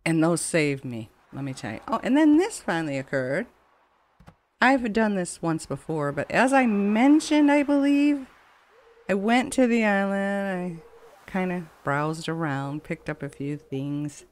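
A woman's voice: lowish voice, animated, unhurried, a little mellow. A woman giving casual, chatty let's play commentary in a rambling, conversational way. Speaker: gaming channel host. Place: voice-over on game footage. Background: game music.

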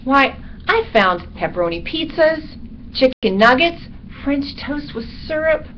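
A woman's voice: sits high, sing-song, a little slow, voice pushed up. A woman voicing a small, chatty male bat from an animal storybook, with a theatrical, rambling, comically aggrieved delivery. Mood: gossipy, disgusted. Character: chatty, dramatic, self-pitying little male bat. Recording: dull recording with background noise.

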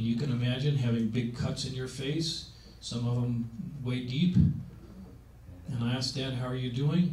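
A seventy-year-old man preaches on aging with emphatic, conversational delivery and frequent rhetorical questions, talking into a handheld mic. His voice is recorded somewhat distant from the mic in a roomy meeting hall. A faint background hiss runs underneath. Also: medium pitch. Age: 70s. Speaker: speaker on aging. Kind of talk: sermon-style talk. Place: meeting room.

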